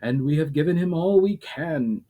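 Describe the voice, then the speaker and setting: A man's voice: on the high side, animated, normal speed, narrow, tinny audio, voice pushed up. A man voicing a kindly old male forest elemental in a storybook reading. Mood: earnest.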